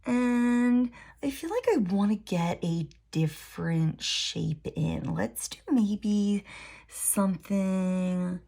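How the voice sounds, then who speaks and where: A woman art teacher with a raspy voice, thinking aloud in a relaxed way as she draws a mandala at a desk. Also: medium pitch, slow. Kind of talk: drawing demo tutorial.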